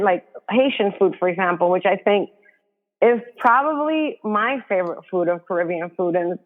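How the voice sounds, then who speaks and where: A woman from the Dominican Republic, a guest author, talking earnestly in a casual interview and thinking aloud with many fillers. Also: medium pitch. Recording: tinny phone-like line.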